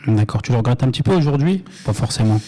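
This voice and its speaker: A man's voice: mid-range voice, lively intonation, quick pace, dark tone, slight background noise. A man hosting a podcast, interviewing conversationally and encouraging his guest with short acknowledgements. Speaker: podcast host.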